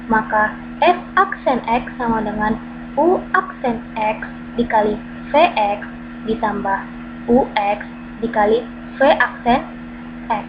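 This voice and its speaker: A woman reading through a math lesson, stating formulas and worked examples in a steady, methodical, step-by-step way. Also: fairly high pitch. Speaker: math tutor. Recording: dull lo-fi recording with clear background hum.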